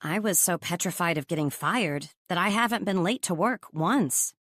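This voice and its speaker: A woman's voice: fairly low pitch, animated, normal speed, a bit bright, no echo, studio quality. A woman playing a modest, plucky office admin in first-person audiobook narration and dialogue, candid and self-deprecating.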